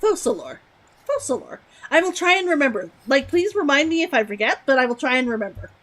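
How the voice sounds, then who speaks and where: High, animated young woman streamer. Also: fairly quick. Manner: chatty, giggly, repeats words to try them out. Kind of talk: live game commentary.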